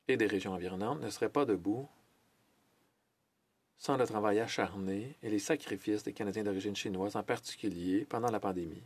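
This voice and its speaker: Low-voiced man interpreting a formal speech. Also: a little mellow. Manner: measured, with hesitations and self-corrections. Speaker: French interpreter of a Senate speech.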